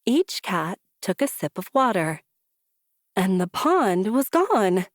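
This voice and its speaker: A woman reading a children's story aloud with deliberate, rhythmic, emphatic phrasing. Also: mid-range voice, big pitch swings, no room sound, clean studio sound. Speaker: storybook reader. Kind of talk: expressive children's story narration.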